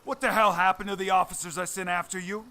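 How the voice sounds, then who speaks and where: A man's voice: sits high, fairly even intonation, quick pace, pushed and bright, voice pushed up. A man voicing an arrogant, menacing young crime boss in a suit, sneering and threatening. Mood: domineering.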